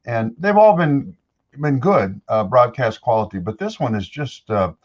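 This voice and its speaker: An older man with a deeper voice, a voice-over actor talking easily as an interview guest into a studio microphone. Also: animated, a little slow, dull audio. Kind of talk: casual interview chat. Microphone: studio dynamic microphone.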